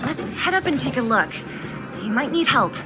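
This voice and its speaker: A woman voicing a brisk, bossy but caring young woman in a video game, direct and commanding. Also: high-pitched, big pitch swings, loud music behind the voice. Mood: focused.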